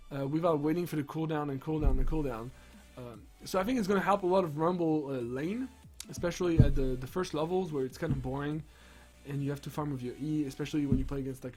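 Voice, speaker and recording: A young man with a sick, strained voice, rambling excitedly through game patch notes with lots of restarts. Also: a little high. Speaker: gaming streamer. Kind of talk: casual patch-notes commentary.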